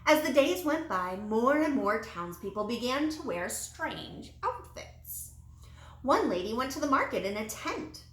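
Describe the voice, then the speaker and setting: A woman reading a children's storybook aloud in an expressive, animated way with dramatic emphasis. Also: a little high, measured pace. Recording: roomy, echoey sound.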